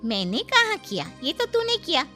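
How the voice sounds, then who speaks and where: A woman's voice: sits high, huge pitch swings, normal speed, bright, forceful tone, full character voice. A woman voicing a cunning, gossiping older friend of the mother-in-law in a cartoon, sly and mocking. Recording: faint background music.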